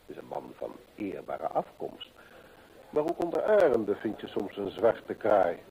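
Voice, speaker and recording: An actor playing a keen, methodical gentleman detective in an old radio play, deliberate and rhetorical as he reasons aloud. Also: lowish voice, huge pitch swings, husky, heavily acted, poor audio. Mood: dramatic, speculative.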